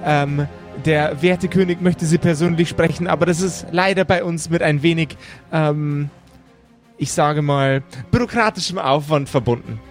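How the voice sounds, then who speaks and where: A man's voice: a little high, normal speed, faint music behind, lightly acted. Man acting a polite, fussy zombie bureaucrat. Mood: officious, apologetic. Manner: formal, apologetic, officious.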